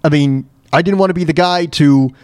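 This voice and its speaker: A man hosting a solo talk show, speaking casually in a halting, stammering way with repeated false starts and a plaintive, self-deprecating manner. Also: fairly high pitch, dry recording, clean studio sound. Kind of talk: casual personal rant and storytelling.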